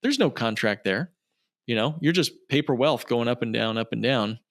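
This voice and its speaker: A man explains things in a conversational, persuasive way as an expert podcast guest. His pitch is middling and he leans on repetition for emphasis. The sound is very dry, with little room in it. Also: animated, normal speed, a bit bright, no echo. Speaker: financial planner, podcast guest.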